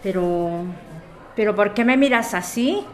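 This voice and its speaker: A woman's voice: medium pitch, lively intonation, measured pace, lightly acted. A woman acting an eager amateur sleuth in a radio soap. She speaks with an accent from Spain, friendly but insistent and concerned, in natural everyday dialogue. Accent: Spanish.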